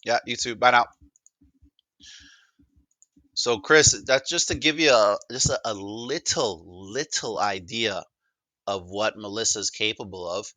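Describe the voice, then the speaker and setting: A man's voice: fairly low pitch, unhurried, pauses often, sharp tone, no room sound. A man presenting a demo in casual conversation, upbeat and informal, often feeling his way through a sentence. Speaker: presenter demonstrating a voice assistant.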